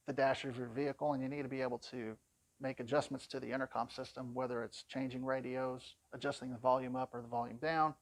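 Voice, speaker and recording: A man's voice: mid-range voice, no echo. Man giving a dry, close-sounding product walkthrough. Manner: plain-spoken, conversational explaining. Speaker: product demonstrator.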